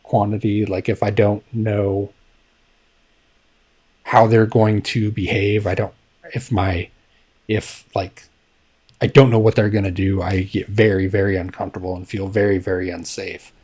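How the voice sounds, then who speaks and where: A man with a fairly low voice co-hosts a casual podcast. He tells his stories slowly and haltingly, leaning on fillers like um and you know. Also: flat delivery, a little mellow, breathy edge. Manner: slow, halting storytelling with many fillers. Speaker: podcast co-host. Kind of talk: casual chat.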